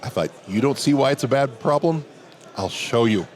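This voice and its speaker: A man with a big voice, a security professional telling a relaxed story during a hallway chat at a conference. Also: mid-range voice, moderate pace. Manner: relaxed anecdotal telling, quoting others in dialogue. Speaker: interview guest, security professional. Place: outdoors at a conference venue.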